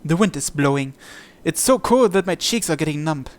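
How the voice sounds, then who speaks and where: A man's voice: a little high, expressive, moderate pace. A man reading a visual novel aloud as first-person narration for a playthrough. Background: game music.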